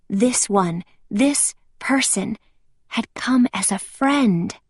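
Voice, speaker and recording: A woman reading a children's storybook aloud with expressive, deliberate phrasing. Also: mid-range voice, no room sound, studio quality.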